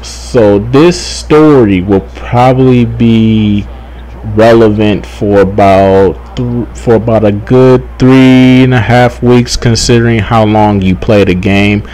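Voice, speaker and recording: A young man giving casual, rambling gaming commentary, halting, repeating himself and swearing freely. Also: fairly low pitch, mellow, poor audio. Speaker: gaming YouTuber.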